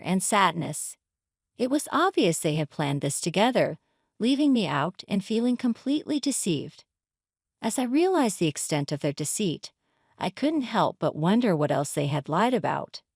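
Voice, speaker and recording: A woman's voice: medium pitch, no room sound, studio quality. A woman reading a scripted, dramatic first-person story as its narrator.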